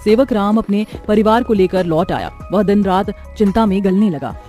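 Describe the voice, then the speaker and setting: Casting: female storyteller for scripted moral tales, medium pitch, faint music underneath. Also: lively intonation, normal speed, warm tone.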